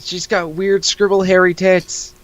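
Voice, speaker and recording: A man's voice: high-pitched, level tone, a little slow, fairly bright tone, no room sound, dull audio. A man co-hosting a comic read-along, giving casual, mocking, profane commentary with an incredulous manner. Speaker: co-host reading a comic aloud.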